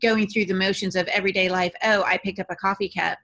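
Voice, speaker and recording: Bright-voiced woman in casual discussion. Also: mid-range voice, fairly even intonation, fast talker. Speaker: podcast panelist.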